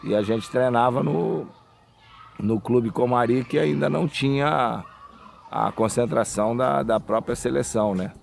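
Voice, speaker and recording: A former player recalling a training camp in a relaxed documentary interview, often ending with tag questions. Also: male voice, mid-range voice, unhurried, vocal fry, faint music behind.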